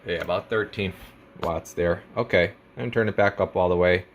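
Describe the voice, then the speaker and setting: Male technician, lowish pitch, informal step-by-step product demo, jargon-heavy, conversational. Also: moderate pace, muffled recording, slight background noise. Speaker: radio technician presenting a radio for sale. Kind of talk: casual product demonstration.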